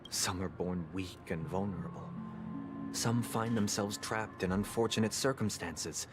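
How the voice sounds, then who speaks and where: Grave game antagonist, very low voice, formal measured speeches, disillusioned idealist, prominent musical score. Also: male voice. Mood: grave, resolute. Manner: measured, formal, philosophical oratory. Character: solemn, disillusioned adult brother, an eloquent idealist turned cynic. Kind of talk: scripted video game drama. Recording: loud music behind the voice.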